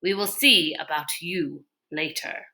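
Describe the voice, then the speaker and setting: A woman's voice: low-pitched, slow pace, sharp tone, exaggerated voice. A woman voicing an irritable, coldly menacing male villain in a storybook, speaking formally with clipped sarcasm. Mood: displeased.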